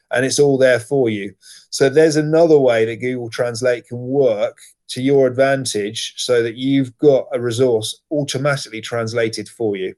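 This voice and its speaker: Male teacher trainer, mid pitch, plain step-by-step screencast explainer. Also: darkish tone. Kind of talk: screen-share software tutorial.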